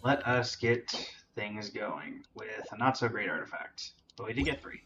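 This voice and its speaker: A man's voice: on the low side, expressive, unhurried, dull audio. A man giving casual live game stream commentary, often muttering to himself as he goes. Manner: muttering to himself while troubleshooting. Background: game music.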